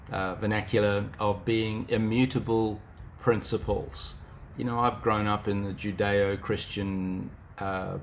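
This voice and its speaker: A man's voice: on the low side, a little hiss or hum. A middle-aged man with a New Zealand accent giving an informal motivational talk, reflective and speaking straight to the listener.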